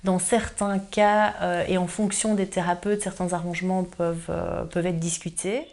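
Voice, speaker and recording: A woman's voice: lowish voice, little pitch movement, normal speed, gravelly, a little mellow. A woman giving unscripted interview answers, hesitant and searching for words.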